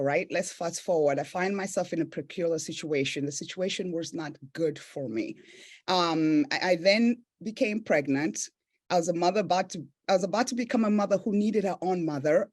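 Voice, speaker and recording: A woman's voice: on the low side, darkish tone. A woman from East Africa, a business owner and coach, telling her personal story earnestly and reflectively as a podcast guest. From Tanzania and Zambia, East Africa. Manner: earnest, reflective, with frequent "right?" tags.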